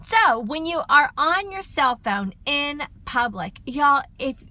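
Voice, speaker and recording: A middle-aged woman talks loud and fast into her phone on a livestream, chatty and direct with her viewers. Her voice sits high and comes across bright and forceful. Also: big pitch swings, dry recording. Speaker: counselor and livestream host. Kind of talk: casual livestream talk to viewers.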